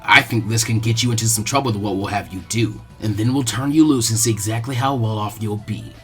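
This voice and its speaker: A man voicing a cold, stoic, calculating ninja boy with a dry deadpan delivery in a story reading. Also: on the low side, brisk, soft background music, voice pushed down, natural voice. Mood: cold threat.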